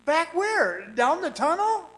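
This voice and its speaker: An actor playing an anxious, halting miner trapped underground in a suspense radio drama. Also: male voice, very high-pitched, sing-song, fairly bright tone, exaggerated voice. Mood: panicky, pleading. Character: frightened trapped miner, jittery, recounting his ordeal. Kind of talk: first-person suspense radio drama acting. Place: performing arts center stage.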